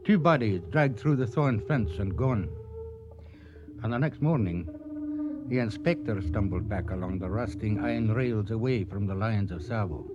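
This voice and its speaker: A man giving dramatic scripted radio narration in a grave, measured, theatrical manner. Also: on the low side, animated, very noisy. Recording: muffled radio recording, loud music behind.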